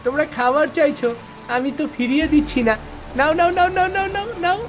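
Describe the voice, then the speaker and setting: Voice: sits high, brisk, slight background noise, natural voice. An actor voicing a spoiled, mean rich child in a cartoon, sneering and taunting. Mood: mocking. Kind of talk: Bengali cartoon voice acting. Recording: music behind the voice.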